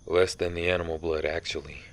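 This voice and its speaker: A man playing a centuries-old vampire hunter in an ASMR audio roleplay, somber, guilt-ridden and resolute, confessing haltingly. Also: deep voice, flat delivery, natural voice. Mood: somber, guilty.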